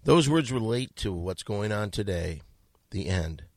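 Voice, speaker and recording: A low-pitched man with a gravelly edge reads listener letters aloud on a podcast. His delivery is deadpan and mock-earnest, and the studio sound is dry. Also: unhurried, no room sound. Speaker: podcast host.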